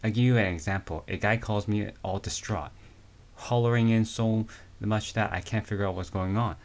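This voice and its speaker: A man voicing a big, easygoing male rescuer, telling his story in a halting amateur comic reading. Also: fairly low pitch, lightly acted.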